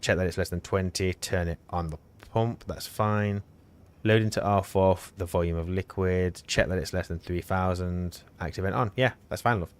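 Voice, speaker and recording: Low-voiced guy streaming a game, chatty and teasing, talks through every step. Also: steady tone, normal speed, smooth. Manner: teasing, talkative, explains while playing. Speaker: co-host streamer. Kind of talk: casual live-stream chat.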